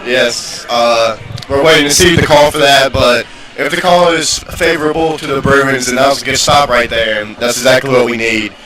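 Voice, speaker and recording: A man co-announces a live game in a bright, steady voice. He sounds set back from the mic, with an echo around him. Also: medium pitch, normal speed. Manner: steady, hands off to partners by name. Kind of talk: live sports commentary. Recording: echoey, distant mic.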